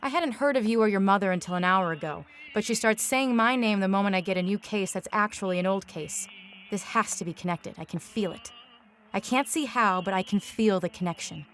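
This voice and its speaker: A woman's voice: medium pitch, brisk, fairly bright tone, close to an everyday voice. A woman playing a hard-drinking, defiant private eye in a noir audio drama, with a sardonic, clipped, tough delivery. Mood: intense, brooding. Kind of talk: noir radio drama acting, monologue and dialogue. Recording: music bed behind voice.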